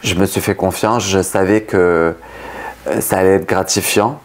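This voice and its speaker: A man's voice: low-pitched, steady tone, normal speed. A young man speaking as an interview guest in a conversational way, often rephrasing himself as he explains.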